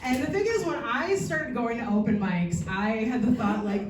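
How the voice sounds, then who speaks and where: A woman in her forties works a stand-up crowd, slipping bawdy punchlines in with a flat deadpan and loose filler ums. Her voice sits fairly high and carries through a reverberant club room, caught at some distance from the mic, with a clear hum of noise underneath. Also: moderate pace. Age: 40s. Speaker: stand-up comedian. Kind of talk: stand-up comedy set. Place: comedy theatre stage before a live audience.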